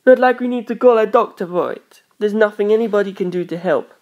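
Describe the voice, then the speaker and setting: A woman's voice: medium pitch, fairly quick, narrow, tinny audio, pitched above the performer's own voice, close to an everyday voice. Woman playing a teenage boy, easygoing, frank, casual, reassuring, storybook dialogue. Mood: frank, unbothered. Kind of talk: audiobook character voice.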